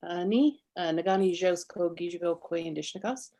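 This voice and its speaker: A middle-aged woman, an activist speaking as a panel guest and introducing herself, haltingly searching for words. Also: fairly low pitch, mellow. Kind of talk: personal introduction on an online panel.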